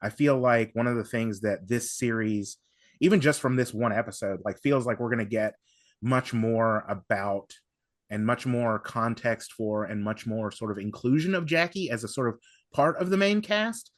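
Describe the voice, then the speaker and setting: A man talks through his analysis as a podcast guest at a middling pitch. He is emphatic but halting, circling back and repeating phrases as he builds a point. The sound is dry, with no room to it. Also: dry recording. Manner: halting, self-interrupting, emphatic, repeats himself. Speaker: podcast panelist. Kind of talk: casual analytical discussion.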